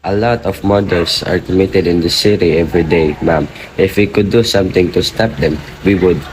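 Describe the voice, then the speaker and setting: Radio drama cop male actor: lowish voice, tired, patronizing, brushing off callers in a matter-of-fact way. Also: moderate pace, slight background noise, close to an everyday voice, rough recording. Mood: skeptical. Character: weary, dismissive New York police desk sergeant. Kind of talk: radio play acting.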